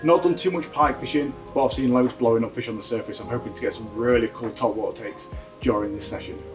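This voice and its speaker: Fast-talking upbeat angler from South Yorkshire. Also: male voice, medium pitch, fairly even intonation. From South Yorkshire, England. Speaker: angler competitor. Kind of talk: interview answers. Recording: distant mic, faint music behind.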